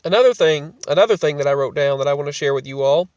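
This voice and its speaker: A man's voice: fairly high pitch, animated, fast, darkish tone, no room sound, distant mic, muffled recording. A man with a cold, sounding congested, talking casually to his vlog audience and often restarting and repeating his phrases. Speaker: vlogger.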